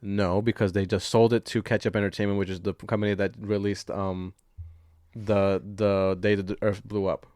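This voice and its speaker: A man with a fairly low voice talks casually as a podcast co-host. He jokes with sarcasm and swears often, and the sound around him is very dry, with no room in it. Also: little pitch movement, normal speed, a little mellow, dry recording. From Dominican heritage. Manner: joking, sarcastic, profane. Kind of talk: casual comedic banter about movie news.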